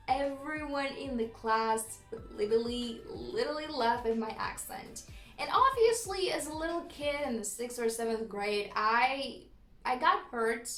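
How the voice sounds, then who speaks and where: A young woman with a Romanian accent, chatting in a storytime vlog, laughing often and filling pauses with ums. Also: on the high side, a bit bright, reverberant. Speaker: YouTuber.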